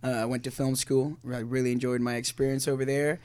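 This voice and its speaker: A man's voice: mid-range voice, flat delivery, quick pace, darkish tone, clear, resonant tone, dry recording. A young man from Argentina, an actor chatting as an interview guest in an earnest, self-deprecating way. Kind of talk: casual interview chat.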